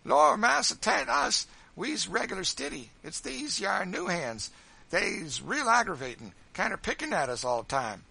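Audiobook role: huge teasing trickster, high and forceful, voluble, broad drawling folk dialect. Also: male voice, very animated, unhurried, gravelly, husky, heavily acted. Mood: wheedling. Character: big boisterous mocking man, voluble trickster. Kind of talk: audiobook character voice.

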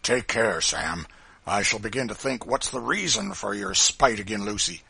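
A man's voice: lowish voice, lively intonation, moderate pace, gravelly, bright, forceful tone, slightly rough, heavily acted. A man voicing a cruel, domineering plantation master in an audiobook, coarse and commanding.

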